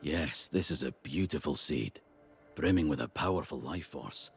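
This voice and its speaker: A man playing a grave, earnest druid elder in a fantasy video game, with a husky voice and a solemn, formal manner. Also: very deep voice, normal speed, faint music behind, exaggerated voice. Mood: approving. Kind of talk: video game character dialogue.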